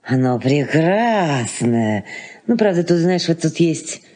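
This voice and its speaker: An actress playing a fussy, wistful elderly aunt in a Soviet radio play, halting and solicitous. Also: female voice, low voice, sing-song, mellow, heavily acted. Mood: insistent, fussy.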